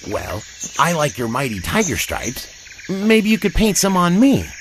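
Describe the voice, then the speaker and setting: A man's voice: high voice, wildly swooping pitch, a little slow, background noise, voice pushed up, full character voice. A man voicing an upbeat, friendly young turtle in a storybook, chirpy and full of ideas. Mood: bright, eager.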